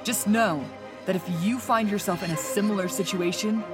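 A woman's voice: medium pitch, normal speed, music in the background, close to an everyday voice. A woman playing a betrayed, embittered customer in an absurd comedy monologue, grave and melodramatic. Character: betrayed, embittered woman reading out her grave complaint review.

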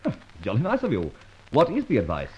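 An actor playing a debonair, self-assured gentleman rogue in a breezy, urbane manner, as in an old radio adventure serial. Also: mid-range voice, wildly swooping pitch, fast, a little hiss or hum. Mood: dryly amused. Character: suave, debonair gentleman adventurer, secretly a roguish thief. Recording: dull, lo-fi old radio recording.

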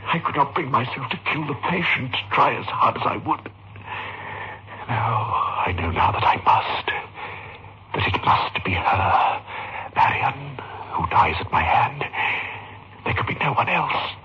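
Tortured doctor man, 60s radio melodrama, halting n obsessed, big swings in pitch, muffled old tape. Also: big pitch swings, husky, exaggerated voice. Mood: brooding, grim. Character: tormented surgeon obsessed with his own deadly hands. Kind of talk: 1960s radio horror drama acting.

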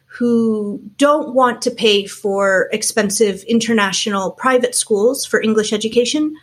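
Canadian woman in her 40s, kinda husky, talks it through slow and plain like a teacher would. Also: on the high side. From Canada, small towns around Toronto. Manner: measured, explanatory, frank. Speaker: guest, teacher and school founder. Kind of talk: casual interview chat.

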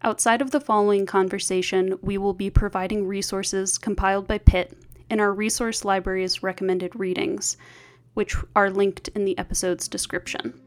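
A woman's voice: mid-range voice, level tone, moderate pace. A woman reading a scripted podcast introduction as host.

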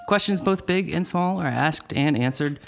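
A man's voice: a little high, normal speed, creaky, faint music behind. A man hosting a comedy interview podcast, reading a playful scripted intro and then asking casual questions. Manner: playful, lively, jokey framing. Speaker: podcast host. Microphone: studio microphone with headphones.

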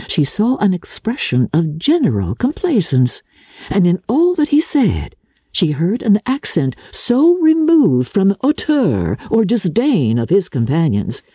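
A woman narrating classic fiction in a theatrical, measured and deliberate literary style, with a husky voice. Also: low-pitched, mellow, dry recording, studio quality. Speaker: audiobook narrator.

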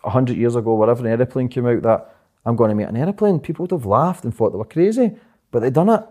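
Fast-talking man, dark tone. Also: medium pitch. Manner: fast, rambling, swears a lot, repeats "do you know what I mean". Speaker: guest. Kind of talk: casual interview chat.

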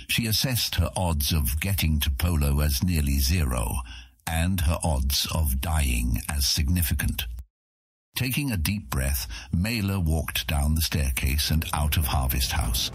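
An extremely deep-voiced man narrates a fantasy audiobook. His tone is husky and his delivery is dramatic, scripted storytelling. The recording is close and dry. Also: measured pace, no echo.

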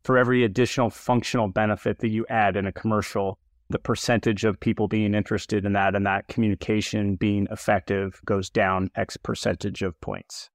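A low-voiced man hosting a podcast, chatting loosely with plenty of "like" and "you know". The sound is dry and close, with no room around it. He keeps an easy, informal tone. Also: brisk, no room sound. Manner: relaxed, chatty, many fillers and restarts. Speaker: podcast host. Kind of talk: casual interview chat.

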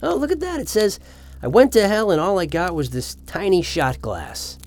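A man's voice: sits high, sing-song, normal speed, darkish tone. A man playing an eager, smarmy financial swindler in hell, fawning and oblivious, in a comedic audio drama. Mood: chipper, oblivious.

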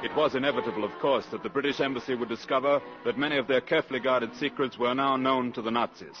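Casting: male actor as measured spy-drama narrator, dull vintage radio sound with music underneath. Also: medium pitch, steady tone, natural voice. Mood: composed narration. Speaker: story narrator. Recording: muffled 1950s radio broadcast.

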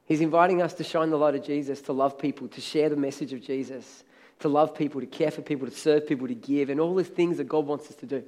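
A middle-aged man preaches a sermon, his voice worn hoarse and crackly. He speaks in a fairly high register, and he drives his points home with energetic, emphatic repetition. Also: fast. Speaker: pastor.

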